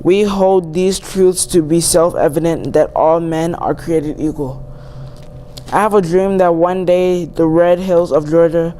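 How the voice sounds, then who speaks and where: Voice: mid-range voice, dark tone. A young teen student reading a famous speech aloud. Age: young teen, seventh grader. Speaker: student reader. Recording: noisy amateur recording.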